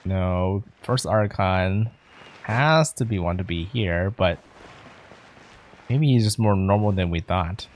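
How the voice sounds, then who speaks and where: A man's voice: low voice, slow pace, a little hiss or hum. A man streaming a game with casual commentary, musing and thinking aloud. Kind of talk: casual let's play commentary. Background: game music.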